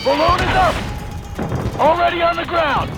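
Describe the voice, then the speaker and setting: A man's voice: very high voice, steady tone, normal speed, gravelly. A man acting an urgent military airman in a video game, giving terse, clipped combat reports. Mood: urgent, strained. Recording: loud music behind the voice.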